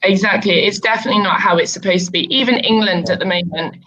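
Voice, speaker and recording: A woman's voice: medium pitch, fast, sharp tone. A woman who teaches English online, chatting with a student over a video call in an easygoing way, with many ums. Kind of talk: casual conversation lesson. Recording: muffled video-call audio.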